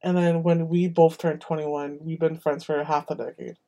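A nineteen-year-old chatting casually with old friends in a relaxed, unhurried way. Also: medium pitch, highs cut off. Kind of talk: casual chat among friends.

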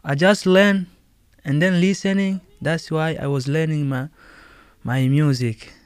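Congolese guy, kinda high voice, broken english, keeps repeating words, laughs a lot, quiet guitar behind. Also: fairly even intonation, clear, resonant tone, no room sound. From Democratic Republic of Congo. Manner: halting non-native English, repeats words, laughs often. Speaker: guest musician. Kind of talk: casual interview answers.

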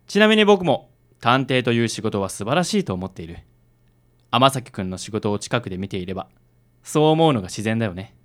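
Radio drama role, male actor: smooth, gallant young mentor type, reassuring and highly expressive. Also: brisk. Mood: encouraging. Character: suave, encouraging young man, gentlemanly friend of the detective.

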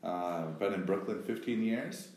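A man from Wisconsin leading a group meeting, encouraging and lively, often laughing. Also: lowish voice, measured pace, slight background noise. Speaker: group leader. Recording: roomy echo.

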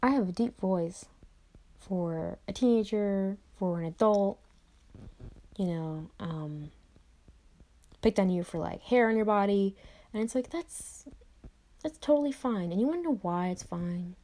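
A woman's voice: medium pitch, a little slow, long pauses, warm tone. A young adult woman speaking to camera in a reflective motivational monologue, repeating phrases and tossing in conversational asides. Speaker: vlogger.

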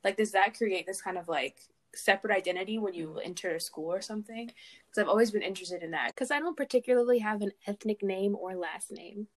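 A woman's voice: mid-range voice, brisk. A woman in casual conversation, asking curious follow-up questions. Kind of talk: casual discussion.

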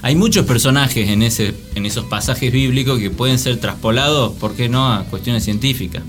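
An Argentine man with a medium-pitched voice co-hosts a radio show. He discusses things casually, in a conversational style, over faint background music. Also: moderate pace. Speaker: radio co-host.

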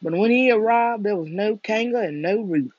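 A storyteller reading a children's book aloud for bedtime in a soothing, deliberate way. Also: mid-range voice, a little mellow, no echo, dull audio.

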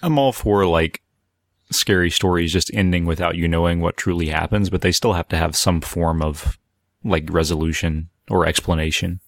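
A man's voice: low voice, fairly even intonation, normal speed, no echo, clean studio sound. A man hosting a podcast in a casual, conversational style, putting questions to the others. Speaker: podcast host. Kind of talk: casual chat, asking questions.

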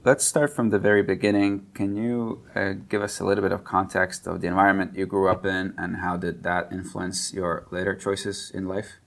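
Low, gravelly-voiced male podcast host. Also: normal speed, hardly any pauses. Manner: relaxed, with frequent "uh" fillers. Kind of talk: interview podcast. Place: museum in Tallinn.

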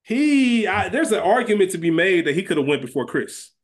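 A man's voice: sits high, sing-song, a little fast. A man co-hosting a podcast, chatting informally and conversationally. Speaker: podcast co-host. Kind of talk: casual banter about a TV series fantasy draft.

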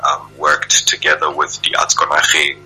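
A man with a South African accent talking as a radio interview guest, in measured, hesitant phrases. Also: extremely low pitch, completely flat delivery, bright, forceful tone, slightly rough, soft background music, rough recording. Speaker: interview guest, theatre writer.

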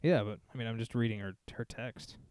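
Mellow, hesitant male podcast co-host. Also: medium pitch, fairly quick, dry recording. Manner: rambling, hesitant, many fillers. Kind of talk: casual chat, reading listener stories aloud.

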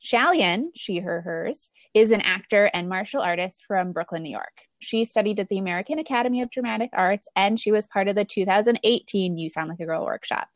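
Woman, podcast co-host, scripted intro, even clear delivery, dry close mic. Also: mid-range voice, a little fast, hardly any pauses, dry recording.

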